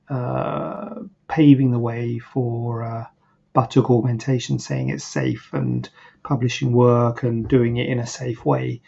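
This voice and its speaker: A plastic surgeon hosting a live online Q&A, answering questions in a rambling, hesitant way, and a bit croaky. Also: male voice, mid-range voice, dark tone. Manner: rambling, hesitant, many fillers and restarts. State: a bit croaky, clearing throat. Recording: dull, lo-fi livestream audio.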